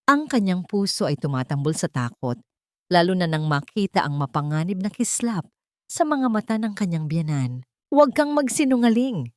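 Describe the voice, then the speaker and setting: A woman's voice: fairly low pitch, expressive, moderate pace, no echo, studio quality. A woman narrating a scripted audio drama story in a dramatic storytelling style.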